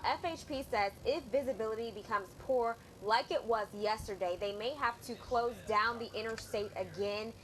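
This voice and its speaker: A woman reporting live on traffic from beside a highway, explaining steadily what viewers are seeing. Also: fairly bright tone, rough recording.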